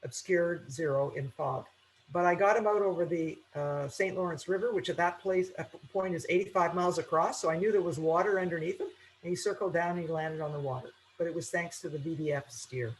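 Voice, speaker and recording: A woman teaches an informal online aviation class. She explains things step by step in a halting, conversational way. A faint noise sits behind her voice. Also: lowish voice, low-quality recording. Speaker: aviation instructor. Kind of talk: informal webinar lecture.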